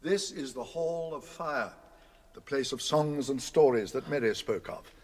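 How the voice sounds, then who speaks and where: A man's voice: mid-range voice, a little slow, lightly acted. A man acting a wise old wizard, stately, kindly and commanding, in a radio drama. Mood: gentle, stately.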